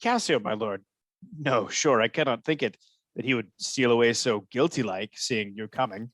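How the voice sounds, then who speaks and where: A man's voice: medium pitch, normal speed, fairly bright tone, no echo, natural voice. A man playing a sly soldier in a Shakespearean radio play, hesitant and deferential while insinuating. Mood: sly, feigned reluctance.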